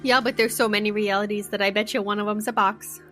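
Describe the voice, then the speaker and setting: A woman with a big voice playing a tabletop game, skeptical and quick to cut in. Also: mid-range voice, steady tone, sharp tone, soft background music. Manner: big voice, skeptical, talks over others. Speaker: player. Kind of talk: casual tabletop roleplay.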